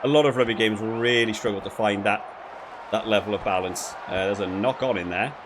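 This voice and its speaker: Man giving halting game commentary over noise. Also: fairly low pitch. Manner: rambling, halting, repeats words. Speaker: video game reviewer and player. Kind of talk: casual gameplay commentary.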